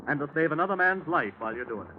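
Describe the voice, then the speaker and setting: Genial pastor, American accent, earnest, folksy, pleading, vintage radio drama, dull lo-fi sound, faint noise. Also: male voice, a little high, big pitch swings, rapid-fire. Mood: earnest, urgent. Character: earnest, genial American army chaplain turned missionary pastor. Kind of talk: 1940s radio drama acting. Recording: muffled, noisy 1949 radio recording.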